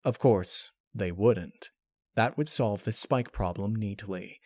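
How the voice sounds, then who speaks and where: A man reading a scripted piece of fiction as narrator, in a measured, serious, dramatic storytelling manner. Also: lowish voice, dry recording, clean studio sound.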